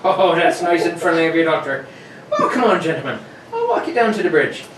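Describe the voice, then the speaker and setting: A man's voice: a little high, huge pitch swings, fairly quick. A man playing a deferential local police sergeant, talking colloquially in a radio play. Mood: friendly, obliging. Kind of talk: amateur radio-play acting. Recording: roomy, distant, noisy live recording.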